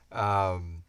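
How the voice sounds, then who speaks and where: Male podcast co-host, low-pitched, close dry sound, informal and halting explainer in a casual Q&A. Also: a bit bright, clean tone, no room sound. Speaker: podcast co-host and show creator. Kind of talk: casual Q&A chat.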